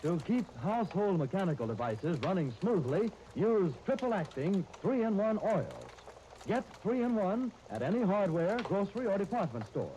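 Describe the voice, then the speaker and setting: A man's voice: high-pitched, big pitch swings, moderate pace, warm tone. A man reading a 1930s radio sponsor announcement as scripted salesmanship. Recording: old 1939 radio disc with faint crackle.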